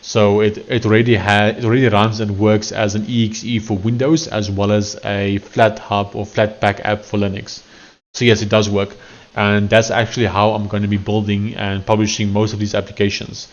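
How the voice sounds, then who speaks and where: A man's voice: on the low side, little pitch movement, moderate pace, lo-fi sound. A man from South Africa with an Afrikaans accent, chatting casually to a live-stream audience while he works on screen, rambling and correcting himself as he goes.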